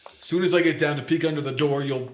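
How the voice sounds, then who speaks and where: A man's voice: a little high, quick pace, natural voice. Echoey, stubborn working-class plumber played by a man. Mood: stubborn, sceptical. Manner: plain-spoken, slangy, defiant. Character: wary, stubborn working-class male plumber, cornered but unbudging. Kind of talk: old-time radio thriller acting. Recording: echoey, roomy voice.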